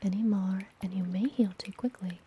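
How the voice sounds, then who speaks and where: A woman playing a friendly apothecary witch in a fantasy ASMR roleplay, speaking gently in a breathy, soothing whisper. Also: medium pitch, slight background noise, natural voice. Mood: calm, instructive. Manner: slow, gentle, soothing delivery. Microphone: close ASMR microphone.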